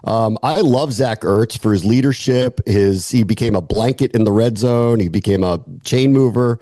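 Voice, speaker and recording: A man's voice: fairly low pitch, moderate pace, dark tone, dry recording, studio quality. An American man talking through football analysis as a guest, conversational and opinionated, often posing questions and weighing the answers aloud. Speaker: sports analyst, podcast guest.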